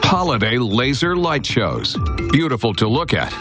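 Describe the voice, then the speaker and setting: A man's voice: mid-range voice, sing-song, normal speed. An American man announcing scripted headline teasers for a television newscast. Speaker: news promo announcer. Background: music.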